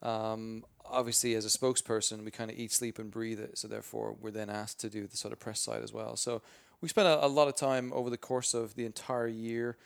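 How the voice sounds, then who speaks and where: A man with a booming voice answering interview questions as a spokesperson, energetic and rambling with many restarts. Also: brisk, gravelly, hardly any pauses. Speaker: game franchise spokesperson.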